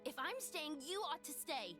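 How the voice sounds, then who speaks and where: Very high, feisty young companion. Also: female voice, very animated, moderate pace, music in the background. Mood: stubborn pleading.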